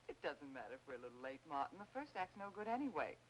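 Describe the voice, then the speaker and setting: Poised, lively 1940s film lady. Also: deep voice, fast, a little hiss or hum, poor audio. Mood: breezy, assured. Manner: playful, self-assured, bantering. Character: poised, teasing well-off lady of the house. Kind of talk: 1940s film noir acting. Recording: vintage film soundtrack with music behind.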